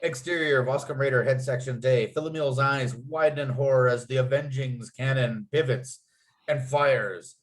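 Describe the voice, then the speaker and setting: A man's voice: mid-range voice, steady tone, normal speed. A man reading out screenplay scene headings and action as the narrator of a script table read. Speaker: narrator and writer.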